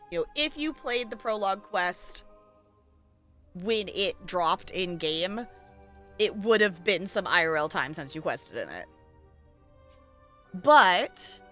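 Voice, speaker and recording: A woman's voice: lowish voice, bright, forceful tone. A woman livestreaming a game, chatting casually and informally with her viewers as she plays. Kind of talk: casual live gameplay commentary and chat.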